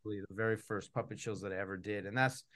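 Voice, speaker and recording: A man's voice: on the low side, fairly even intonation, quick pace, fairly bright tone. Middle-aged man hosting casually. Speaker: host, puppeteer and author. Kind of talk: casual online event hosting. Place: home room on a video call.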